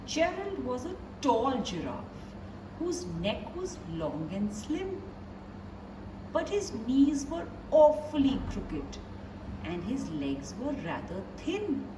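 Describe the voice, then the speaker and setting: A woman reading a children's picture book aloud in an expressive storyteller's way, talking directly to young listeners. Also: sits high, slow. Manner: animated storyteller addressing young listeners with questions. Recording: roomy, distant mic, clearly noisy background.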